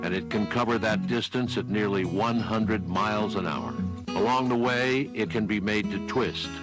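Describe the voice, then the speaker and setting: A man reading scripted documentary narration in a measured, deliberate way. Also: on the low side, poor audio. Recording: loud guitar music underneath. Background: plucked guitar music.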